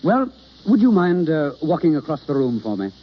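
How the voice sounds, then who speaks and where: An actor playing an understudy turned acting coach, polite but blunt, in old radio drama style. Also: male voice, a little high, big pitch swings, normal speed. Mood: critical. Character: poor, capable understudy turned stern acting coach, man. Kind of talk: 1930s American radio acting. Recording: muffled, hissy old radio broadcast.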